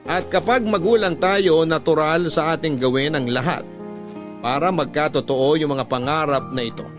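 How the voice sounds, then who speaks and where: A man with a fairly high voice works as a radio storyteller. He delivers scripted narration in a dramatic style, with music clearly playing behind him. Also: normal speed. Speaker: radio host narrating listeners' letters. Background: background music.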